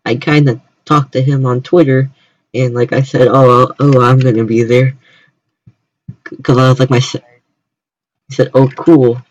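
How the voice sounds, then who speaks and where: A man's voice: mid-range voice, flat delivery, dry recording. Young american guy chatting on stream, keeps restarting sentences, kinda dull lo-fi mic. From United States. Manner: halting, repetitive starts. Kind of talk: casual chat on a livestream.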